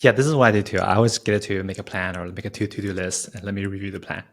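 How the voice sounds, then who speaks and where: A man's voice: low-pitched, fairly even intonation, racing. A man hosting an interview in casual chat, asking informal questions and repeating words as he forms them. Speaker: interview host.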